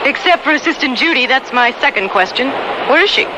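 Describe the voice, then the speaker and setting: A woman's voice: sits high, expressive, brisk, background noise. An actress playing a spirited, independent woman balloon pilot and aunt, narrating and acting in a radio drama, teasing and firm. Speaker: narrator and lead character.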